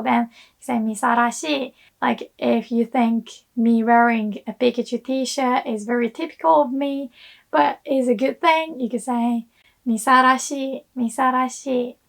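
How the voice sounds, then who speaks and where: Young woman language teacher, hoarse, high-voiced, relaxed and chatty. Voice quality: hoarse, gravelly. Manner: relaxed, chatty explaining with many fillers. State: hoarse from illness. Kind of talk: casual online language lesson.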